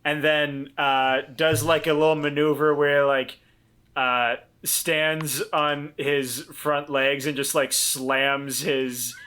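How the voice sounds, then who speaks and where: A man playing a tabletop game casually, improvising in a halting, self-correcting way. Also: on the high side, level tone, sharp tone, clean tone.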